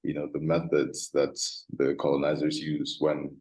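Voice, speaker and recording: A man moderating an artist talk, speaking thoughtfully and haltingly with frequent ums. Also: a bass voice, completely flat delivery, warm tone.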